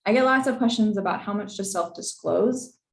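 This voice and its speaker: A woman's voice: medium pitch, fairly quick, creaky, echoey room. A young woman who is losing her voice, presenting an informal info session in a chatty way with many fillers. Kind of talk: informal advice talk with slides.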